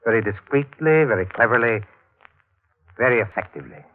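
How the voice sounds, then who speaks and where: A man acting as an enigmatic, sardonic painter in an old 1940s radio mystery. The voice is deep and theatrical, with deliberate, worldly wryness. The sound is slightly muffled, with faint hiss and crackle. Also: expressive, close to an everyday voice. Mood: wry, ominous. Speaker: supporting actor.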